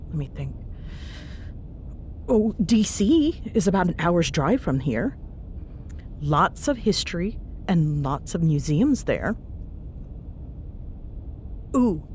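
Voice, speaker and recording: A woman acts out a young tsundere ghost hunter in an intimate audio roleplay. Her voice sits a little low but swings widely in pitch as she teases and bosses with sarcastic fondness. A faint vehicle-like rumble runs underneath. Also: darkish tone. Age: young adult. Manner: sarcastic, teasing, foul-mouthed banter. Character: young tsundere ghost-hunting woman, teasing, bossy, secretly fond. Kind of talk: audio roleplay spoken to a listener.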